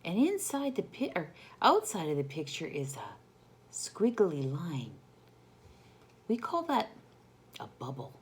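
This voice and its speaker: A woman reading a picture book aloud to children in an expressive, teacherly way, putting questions to her young listeners. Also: low-pitched, a little hiss or hum.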